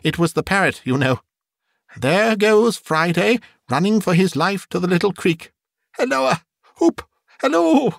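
A man voicing an old miser softened into childlike, sentimental wonder, with an eager, exclamatory delivery for an audiobook. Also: medium pitch, a little slow, no room sound, studio quality. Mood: excited, delighted. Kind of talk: audiobook character dialogue.

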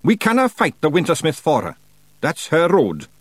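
A bold, weary little Scottish fairy chieftain, giving orders to his clan in broad dialect. The voice is pitched high and swings energetically, recorded dry and close. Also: wildly swooping pitch, moderate pace, no room sound. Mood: grave, rallying. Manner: emphatic, rousing dialect speech.

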